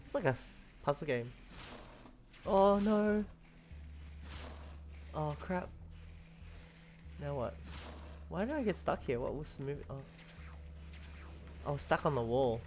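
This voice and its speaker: A woman's voice: low-pitched, lively intonation, takes it slowly, pauses often, darkish tone, slight background noise. A woman doing casual gameplay commentary, thinking aloud with puzzled reactions and exclamations. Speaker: game streamer. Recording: game music behind voice.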